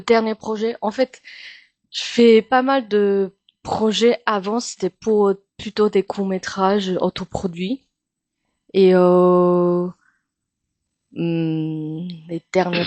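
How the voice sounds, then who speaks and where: A young woman from Taiwan, Mandarin her first language, speaking French with a Taiwanese accent as an interview guest, pausing often and filling gaps with hesitations. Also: fairly low pitch, darkish tone, no echo. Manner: hesitant, many pauses and fillers. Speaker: guest, actress and singer. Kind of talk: casual interview chat.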